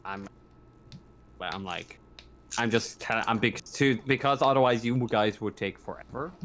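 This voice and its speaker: Halting male game master, noisy recording. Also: medium pitch, fairly bright tone. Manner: halting, repetitive. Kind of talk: improvised tabletop roleplaying narration and rules talk.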